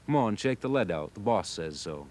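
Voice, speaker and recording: A man's voice: on the low side, big pitch swings, moderate pace, a little mellow. A man doing a surly old sea serpent. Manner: surly, cross, gruff.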